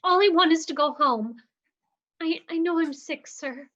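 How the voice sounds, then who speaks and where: A woman's voice: extremely high pitch, normal speed, fairly bright tone. Woman reading an earnest young patient, lo-fi. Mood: pleading. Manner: earnest, pleading. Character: young woman patient called Dottie pleading. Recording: dull lo-fi sound.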